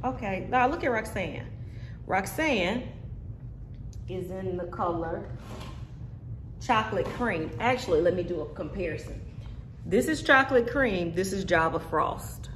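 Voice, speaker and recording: A woman in her thirties talks to her viewers from a chair indoors, trying on and reviewing a wig. She is sassy and full of jokes, with a medium-pitched voice. The room adds a noticeable echo. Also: animated, measured pace, pauses often, a bit bright, slight background noise. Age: 30s. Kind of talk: casual review vlog. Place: indoor room with brick wall.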